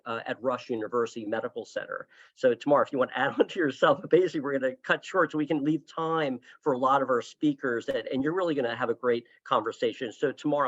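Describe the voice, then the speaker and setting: A man's voice: medium pitch, a bit bright. Enthusiastic man opening a webinar, dull audio. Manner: rambling, enthusiastic, many restarts. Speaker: past section chair opening a webinar. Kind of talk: webinar introduction. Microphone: video call.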